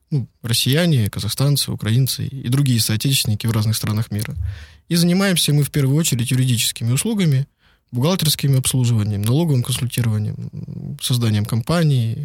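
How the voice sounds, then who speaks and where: Husky guy talking shop in an interview, rambly, fillers everywhere, dry sound. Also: medium pitch, dry recording. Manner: conversational, digressive, many fillers. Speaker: guest, law firm partner. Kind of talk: interview answers. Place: lives and works in Beijing.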